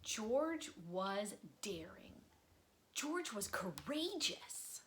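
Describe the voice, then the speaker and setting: A woman reading a picture book aloud for children, expressively, with dramatic pauses and drops into breathy near-whispers for suspense. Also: medium pitch, sing-song, a bit bright, a little hiss or hum. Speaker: volunteer storybook reader. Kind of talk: children's read-aloud storytime narration.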